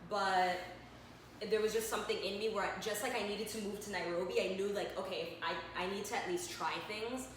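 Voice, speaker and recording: A young woman from Manhattan, co-hosting a casual chat, animated and laughing, with lots of fillers. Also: mid-range voice, brisk, very echoey, slight background noise, low-quality recording. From Manhattan, New York. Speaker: co-host.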